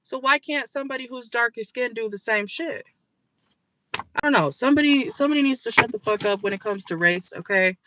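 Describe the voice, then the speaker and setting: Blunt, bright-voiced tarot reader losing voice. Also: mid-range voice, a little fast, poor audio. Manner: blunt and profane, tags lines with "okay?". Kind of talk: casual tarot reading for viewers. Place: in a car.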